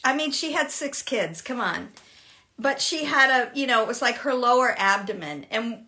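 A woman in her sixties answering fitness questions in a chatty, animated way during a casual live Q&A from a home room. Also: on the high side. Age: 60s. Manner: chatty, animated, laughs often. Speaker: fitness host. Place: home room with shelves, indoors.

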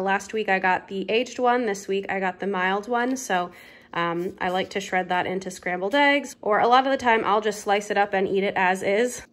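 A woman in her thirties chats her way through a grocery haul at home. Her voice sits mid-range, relaxed and a little hesitant, with frequent fillers. A faint hiss of background noise runs under the recording. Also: medium pitch. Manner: relaxed, chatty, many ums and uhs. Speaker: lifestyle vlogger. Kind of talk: casual grocery haul show-and-tell. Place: home kitchen table.

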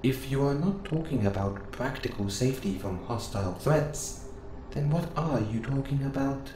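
A man playing a gentle, curious robot assistant who speaks in formal, precise phrases, close and intimate in an audio roleplay. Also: medium pitch, lively intonation, normal speed, lightly acted. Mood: curious, reassuring. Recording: roomy sound with clear background noise.

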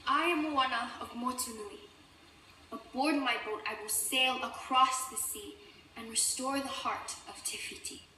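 A young woman playing a brave, determined wayfinder heroine from an animated film storybook, speaking in an earnest, emphatic way. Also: sits high, a little slow, slight background noise. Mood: resolute. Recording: echoey, distant, music behind.

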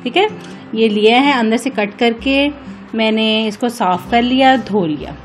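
Female cooking vlog host, higher-pitched voice, casual step-by-step instruction addressed to viewers, music behind. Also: a little slow. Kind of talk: casual recipe narration, step by step.

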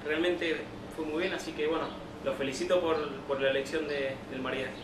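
A man's voice: on the high side, steady tone, brisk, crowd noise. A man, a winemaker giving casual feedback and searching for his words. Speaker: winemaker guest. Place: at a table in a winery room. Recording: echoey, distant mic, noisy ambience.

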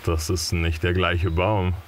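Male actor as guarded guide for radio play, lowish voice, brusque, faint outdoor insect noise behind. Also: flat delivery, slow pace. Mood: evasive, curt. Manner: casual, evasive, brusque.

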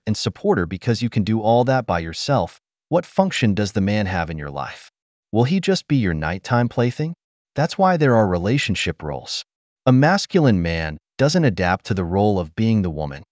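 Male narrator, low-pitched, lively lecturing delivery, dry close sound. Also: dry recording. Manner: lecturing, opinionated. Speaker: commentary narrator. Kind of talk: scripted voice-over commentary.

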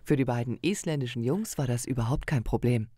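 A man reading scripted documentary narration in a calm, explanatory way. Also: medium pitch, brisk, crowd noise.

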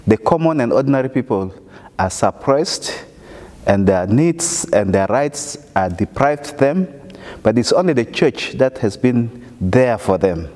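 A middle-aged man from South Sudan, a church leader speaking earnestly and measuredly in an interview, with emphatic pauses. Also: medium pitch, expressive, darkish tone, big-room sound. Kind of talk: interview testimony. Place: indoor chapel with wooden wall.

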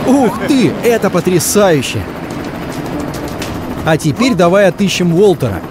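A man's voice: high-pitched, big pitch swings, a little slow, noisy background. A man narrating a children's cartoon in a cheerful, encouraging way. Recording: music behind the voice.

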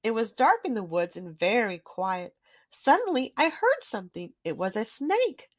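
Animated woman reading a children's storybook. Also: fairly high pitch, normal speed, no echo, clean studio sound. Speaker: children's book reader. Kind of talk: scripted storybook read-aloud.

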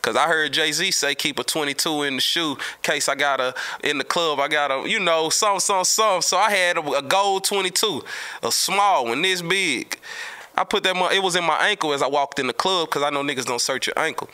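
Forceful male voice, clean smooth tone, casual slangy storyteller, emphatic and repetitive, close studio mic. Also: a little high, normal speed. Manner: animated, emphatic, repeats words for emphasis. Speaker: storyteller, host of his own show. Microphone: close podcast mic on a boom arm.

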